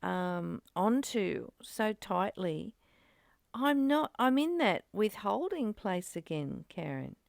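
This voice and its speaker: A woman's voice: medium pitch, very animated, slow, vocal fry, dry recording, studio quality. A woman speaking earnestly in a reflective spiritual discussion, often repeating phrases as she goes. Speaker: study group host reading and commenting. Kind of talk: reflective spiritual discussion, partly read aloud.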